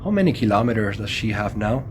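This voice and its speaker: A man's voice: lowish voice, sing-song, quick pace, a little hiss or hum. A man playing a serious, wary Spanish-speaking monster hunter in an audio drama, with terse and formal phrasing. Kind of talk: horror audio drama acting.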